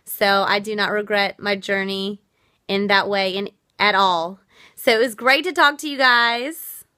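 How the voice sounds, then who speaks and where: A woman's voice: mid-range voice, steady tone, unhurried, pushed and bright, clean tone, no echo, studio quality. A woman from the United States hosting a casual live stream, earnest and reflective as she answers viewer comments, her voice worn out. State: losing her voice. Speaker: livestream host.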